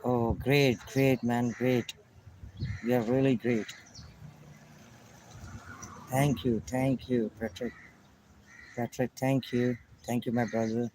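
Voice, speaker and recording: A man with a Pakistani accent speaks slow, simple English in a casual chat, often repeating his greetings and thanks. His pitch is ordinary and his pace unhurried. He is outdoors in a rural spot, with faint birds and passing vehicles behind him. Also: flat delivery, darkish tone, a little hiss or hum, poor audio. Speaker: vlogger chatting with strangers.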